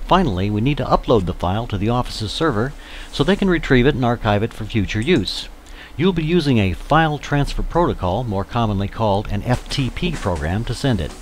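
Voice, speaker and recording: A man's voice: mid-range voice, lively intonation, fairly quick, slight background noise. A man giving scripted, step-by-step instructional narration as a tutorial narrator for volunteers.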